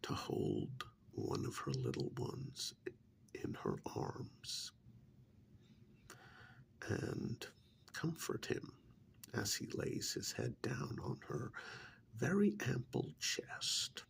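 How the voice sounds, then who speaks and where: A softly whispering vampire envoy acted by a man speaks in an ASMR role-play. His voice is thick with gravelly fry and takes its time, pausing deliberately. He sounds chatty and gently scolding, with pitch that swoops widely. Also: huge pitch swings, exaggerated voice. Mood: confiding, soothing. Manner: slow, deliberate, drawn-out pauses, conversational.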